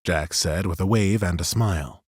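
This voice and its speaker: A male narrator reading a fantasy audiobook in a steady, even storytelling style. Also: low voice, normal speed, breathy edge, no echo, clean studio sound.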